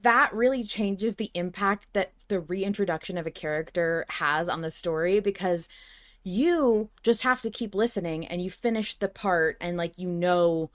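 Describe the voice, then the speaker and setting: A woman hosting a fan recap podcast, chatting in a gushing, sweary, excited manner. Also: on the low side, moderate pace, dry recording, studio quality. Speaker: podcast host.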